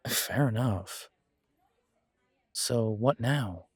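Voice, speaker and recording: A man playing a wry, self-deprecating alpha werewolf in an audio drama, with a sardonic, measured delivery. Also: mid-range voice, breathy edge, no echo, clean studio sound, heavily acted. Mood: friendly, curious. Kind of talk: scripted audio roleplay drama.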